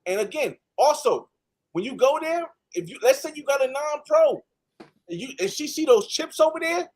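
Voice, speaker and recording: A man's voice: very high voice, animated, moderate pace, a little mellow. A man from the United States talking casually on a panel, emphatic and repeating phrases for stress. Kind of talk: casual panel talk, travel advice.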